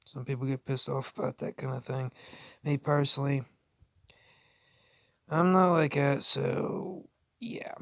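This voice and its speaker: A man's voice: mid-range voice, a little slow, gravelly, long pauses, no room sound, studio quality. A man giving casual, unscripted reaction commentary in a blunt, rambling way, with crude language and swearing. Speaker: reaction video YouTuber.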